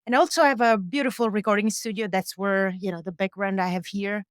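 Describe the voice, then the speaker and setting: A woman's voice: mid-range voice, fairly even intonation, brisk, no echo, studio quality. A woman guest who is a musician and sound engineer, talking casually in an interview with many fillers and small laughs. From Europe. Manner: chatty, many fillers, laughs between thoughts. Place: home recording studio on a boat.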